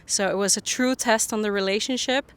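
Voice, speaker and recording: A woman's voice: mid-range voice, fairly even intonation, fairly quick, smooth. A young woman from Finland, a Finnish native speaker, chatting as a podcast guest on an outdoor bench, laughing often and filling her talk with "like". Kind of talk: casual interview chat. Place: public bench by a harbour outdoors.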